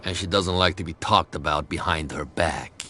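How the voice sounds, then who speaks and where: An actor playing a surly male bootlegger thug in a video game, mocking and often hushed. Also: deep voice, normal speed, slight background noise. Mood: menacing.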